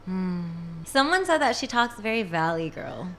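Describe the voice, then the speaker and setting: A woman's voice: medium pitch, very animated, normal speed, crowd noise. A woman who streams, chatting casually as a podcast guest in an easygoing, agreeable way. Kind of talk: casual chat.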